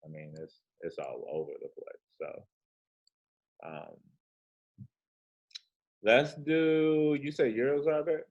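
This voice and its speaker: A man's voice: medium pitch, animated, takes it slowly, long pauses, narrow, tinny audio. A man with a soothing voice casually walking through trading charts, laid-back and slangy with rambling asides. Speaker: forex trading host.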